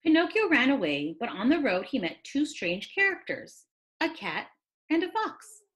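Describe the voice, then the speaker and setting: A woman reading a children's story aloud as a teacher, with expressive, dramatic delivery. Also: high voice, normal speed.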